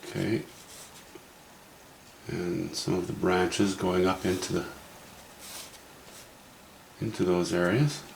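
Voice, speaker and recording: A Canadian man with a low, gravelly voice talks through his painting in a wry, thinking-aloud way. The sound is roomy and echoey, with faint background ambience. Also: flat delivery, takes it slowly, long pauses, a little hiss or hum. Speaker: painting instructor. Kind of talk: casual painting demo narration.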